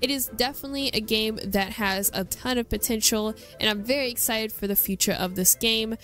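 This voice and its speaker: A woman's voice: a little high, a little fast, a bit bright, soft background music. A woman hosting a gaming video, giving a chatty, enthusiastic, casual walkthrough commentary.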